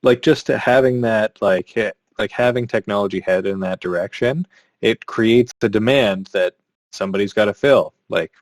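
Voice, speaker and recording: A man with a somewhat low voice talks casually as a podcast co-host, thinking aloud with lots of "like". The recording is dry, with little room sound. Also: normal speed, no room sound. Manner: rambling, heavy use of "like". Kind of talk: casual chat.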